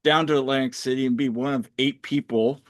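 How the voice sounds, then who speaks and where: A man's voice: mid-range voice, steady tone, fairly quick, no echo. A man from Pennsylvania with an American accent, interviewing casually and conversationally as a podcast host. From United States, Pennsylvania.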